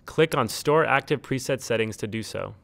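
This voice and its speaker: Dry, measured male tutorial narrator. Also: medium pitch, creaky, a bit bright, dry recording. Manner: measured, neutral step-by-step explaining. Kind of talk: scripted instructional narration. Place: close, dry studio-style voice-over recording.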